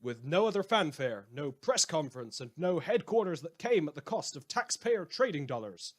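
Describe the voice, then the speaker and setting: A man's voice: a little high, big pitch swings, moderate pace. A man playing a sardonic, mock-grandiose radio host turned self-appointed lawman in a scripted audio drama monologue, rambling and repeating himself. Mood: grandly announcing. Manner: sarcastic, rambling, mock-grandiose, hesitant repetitions.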